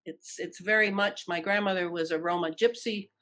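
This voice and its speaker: An older woman with an American accent answering in an interview, deliberate and emphatic, repeating phrases for weight. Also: lowish voice, fairly even intonation, fairly bright tone. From Detroit, United States. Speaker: interview guest.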